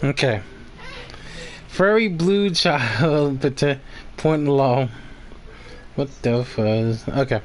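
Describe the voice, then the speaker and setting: A man chatting and commentating casually as the host of a live stream, halting and often repeating himself. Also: mid-range voice, slight background noise.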